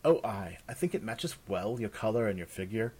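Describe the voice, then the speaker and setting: A man's voice: fairly low pitch, animated, normal speed, a little mellow, husky. A man voicing an eager, deferential young servant girl with polite, old-fashioned speech in a fantasy story. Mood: eager, reassuring. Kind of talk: fantasy audiobook character.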